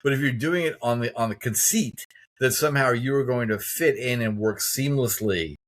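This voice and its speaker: A man in his fifties hosts a podcast conversation, speaking at an ordinary, middling pitch. He drives his points home with emphatic, opinionated storytelling. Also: moderate pace. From United States. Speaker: podcast host. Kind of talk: conversational interview.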